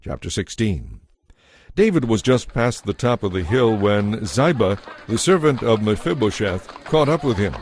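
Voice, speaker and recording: Low-pitched male Bible narrator. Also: animated, normal speed, darkish tone, slight background noise.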